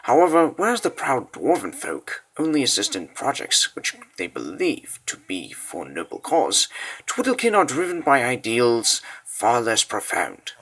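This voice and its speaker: A man narrating a comic dub as a scripted dramatic story, grave and deliberate with theatrical pauses. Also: mid-range voice, huge pitch swings, a bit bright, slightly rough, poor audio.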